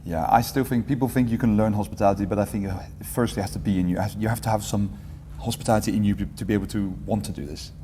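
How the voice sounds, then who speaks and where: A man in his forties answering interview questions in a relaxed, meandering way, often correcting himself mid-sentence. His voice is low and mellow. The room gives a long reverberant tail, with faint ambience underneath. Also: fast, few pauses, a little hiss or hum. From England. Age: 40s. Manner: relaxed, rambling, self-correcting with light humor. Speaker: guest, entrepreneurship teacher.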